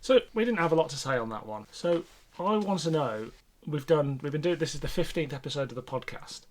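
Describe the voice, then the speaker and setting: A man's voice: fairly high pitch, expressive, fairly quick. A man co-hosting a podcast in casual conversation, informal and thinking aloud as he goes. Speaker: podcast host. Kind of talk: casual discussion.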